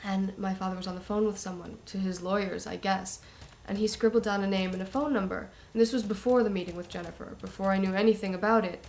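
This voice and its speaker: An uneasy young woman played by a film actress gives her account hesitantly. Her pitch is middling and her delivery conversational, with a little noise in the background. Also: steady tone, quick pace, few pauses, a little mellow, natural voice. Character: uneasy young woman recounting family secrets. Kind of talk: naturalistic film acting, interview testimony.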